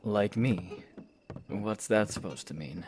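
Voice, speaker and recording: A man playing a stern, protective young hero in a fantasy audio drama, curt and dry with quiet menace. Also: lowish voice, takes it slowly, a little hiss or hum. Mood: skeptical.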